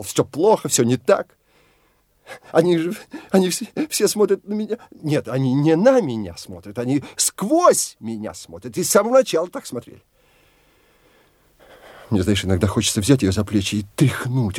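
An actor in an audio drama playing a desperate, bitter husband from the provinces, husky and halting, repeating himself with passion. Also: male voice, a little high, a little mellow, no room sound, clean studio sound.

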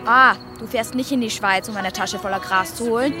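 A young girlfriend played by an actress speaks in a fairly high voice over clear music. She is demanding, direct and cutting, a sharp-tongued figure in a radio play. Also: brisk, lightly acted. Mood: scornful, angry. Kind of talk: audio drama dialogue acting. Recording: music bed behind dialogue.

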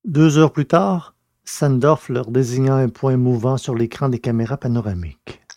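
A man narrating a scripted adventure story in a measured, literary way. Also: mid-range voice, darkish tone, no echo, clean studio sound.

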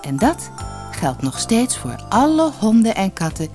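Expressive woman storyteller, lively intonation. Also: medium pitch, unhurried, soft background music. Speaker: audiobook storyteller. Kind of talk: scripted fairy-tale narration.

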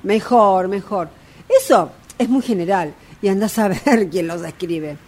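A woman's voice: medium pitch, a little slow, darkish tone, lightly acted. An actress playing an evasive, self-assured fortune teller in a radio drama, placating her client with theatrical reactions in an Argentine accent.

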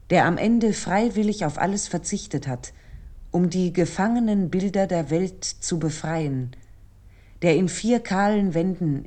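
A woman narrating a scripted literary essay for radio in a measured, reflective and formal manner. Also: fairly low pitch, dark tone.

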